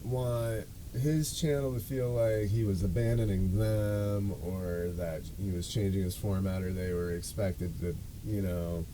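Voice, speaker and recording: A man's voice: on the low side, background noise. A man hosting a podcast, telling a story in a casual, rambling, self-deprecating way with loose swearing. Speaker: podcast and show host.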